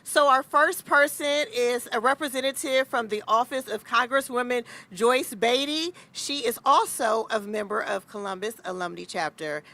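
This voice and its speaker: A woman's voice: fairly high pitch, moderate pace, few pauses, pushed and bright. A woman emceeing a ceremony program, loose and conversational, laughing at her own slips.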